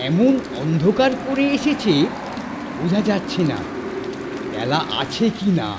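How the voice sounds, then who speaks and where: A man's voice: sits high, very animated, unhurried. A man voicing an anxious village cowherd in a cartoon, muttering to himself and calling out to his cattle. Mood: uneasy muttering. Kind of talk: cartoon voice acting. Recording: loud rain noise behind the voice.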